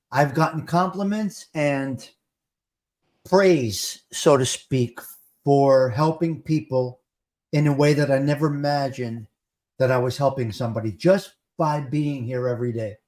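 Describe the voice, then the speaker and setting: Casual livestream host: male, New Jersey accent, plain-spoken, mid-pitched, emphatic repetition. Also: measured pace, darkish tone. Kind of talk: casual chat.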